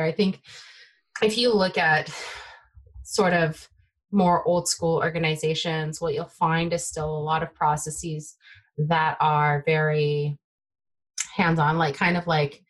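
A young adult woman from the Bay Area, whose first language is Taiwanese, chatting excitedly as a guest developer in an interview. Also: deep voice. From United States (Bay Area). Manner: excitable, filler-heavy, trailing off. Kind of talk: casual tech interview chat.

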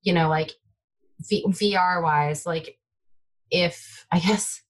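A young adult woman from the Bay Area, whose first language is Taiwanese, chatting excitedly as a guest developer in an interview. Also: lowish voice, takes it slowly. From United States (Bay Area). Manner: excitable, filler-heavy, trailing off. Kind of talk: casual tech interview chat.

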